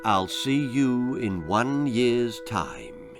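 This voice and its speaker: A gentle old snatcher of children from a grisly storybook , performed by a male reader. He speaks very deliberately in a soft, soothing tone with a sinister edge, over faint music. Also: medium pitch, expressive. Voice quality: soft, whispery. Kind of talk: children's horror storybook reading.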